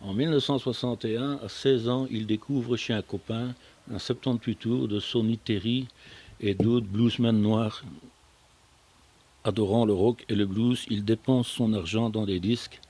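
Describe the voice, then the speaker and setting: A man's voice: lowish voice, fairly even intonation, unhurried, mellow. An older, retired man presenting a radio programme, reading scripted biographical narration with some casual banter. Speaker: radio show presenter who prepares the programme.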